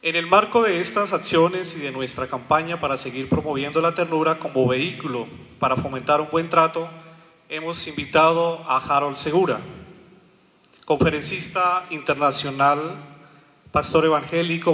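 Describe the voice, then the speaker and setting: A man's voice: a little high, moderate pace, bright, forceful tone. A man acting as event host, reading a formal introduction of the guest speaker. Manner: reads a formal biography. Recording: long hall reverb.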